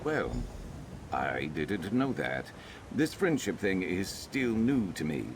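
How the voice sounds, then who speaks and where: Weary lizard-folk fighter for a fantasy game: extremely low, gravel-throated, guarded and plainspoken. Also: male voice, sing-song, takes it slowly, background noise, full character voice. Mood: earnest, hesitant. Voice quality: very deep, gravelly. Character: weary, guarded male Argonian lizard-folk warrior, former slave. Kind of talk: video game character dialogue.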